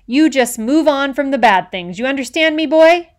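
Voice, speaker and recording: A woman reading an audiobook, voicing a blustering, bigoted middle-aged male island policeman who lectures in rough, folksy grammar. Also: medium pitch, moderate pace, close to an everyday voice. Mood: loud, bullying.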